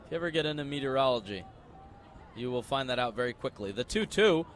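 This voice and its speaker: A man's voice: medium pitch, animated, fast, a bit bright, a little hiss or hum. A young American man doing live radio play-by-play, conversational, stretching words on the big calls. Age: young adult. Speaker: play-by-play sports announcer.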